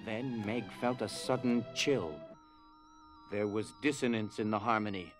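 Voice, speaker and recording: A man reading dramatized storybook narration in a measured, solemn way. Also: lowish voice, fairly even intonation. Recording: music behind.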